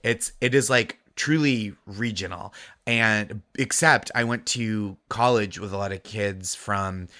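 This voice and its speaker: A man with a light Massachusetts accent chats on a podcast with animated energy and frequent laughs. His voice sits slightly low but rings bright and forward. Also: a little slow. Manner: animated, chatty, laughs often. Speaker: podcast guest co-host. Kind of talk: casual chat.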